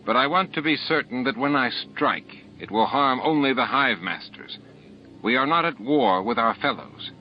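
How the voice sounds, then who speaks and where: A man's voice: medium pitch, expressive, moderate pace, slight background noise, voice pushed up, close to an everyday voice. A man voicing a cautious, principled male alien rebel worker in an audiobook. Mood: restrained anger. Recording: dull lo-fi audiobook tape.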